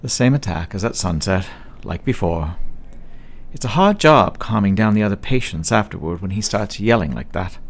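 A man's voice: fairly low pitch, animated, normal speed. An actor, a man, playing a matter-of-fact asylum doctor giving reports in a radio drama.

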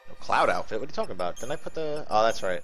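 A man's voice: lowish voice, animated, racing, fairly bright tone. A man giving casual live video game commentary, thinking aloud and swearing as he chats with viewers. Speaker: livestream host playing a video game. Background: game music.

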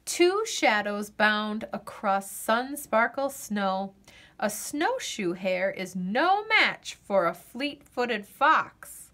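Lively, slow-paced woman reading a storybook. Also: medium pitch. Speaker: storyteller reading a picture book aloud. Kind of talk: expressive children's read-aloud narration.

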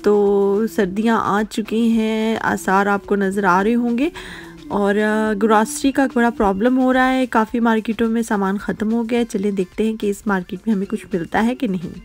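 A chatty woman from Pakistan talks through her grocery run, showing each item to viewers as she goes. Her voice sits at an ordinary middle pitch. Faint music plays under her. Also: fairly even intonation, moderate pace. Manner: chatty, shows items to viewers as she walks. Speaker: vlogger. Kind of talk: casual shopping vlog narration. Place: supermarket aisles and streets in the UK.

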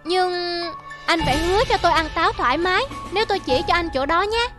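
A woman's voice: very high-pitched, lively intonation, quick pace. A woman voicing a sly, polite parrot in a children's cartoon, speaking in a coaxing way. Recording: music behind the voice.

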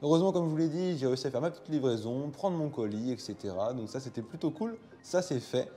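A young man in his twenties narrating a relaxed voice-over recap for his viewers, recorded indoors on a lapel mic. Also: mid-range voice, fast. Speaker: vlogger. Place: indoors at home.

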